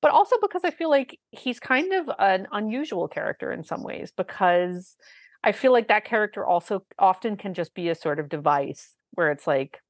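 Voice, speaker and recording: A woman with a low voice, co-hosting a podcast, talking in an enthusiastic, chatty way with lots of fillers. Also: big pitch swings, normal speed, no room sound, clean studio sound. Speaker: podcast co-host. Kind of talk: casual chat about a musical.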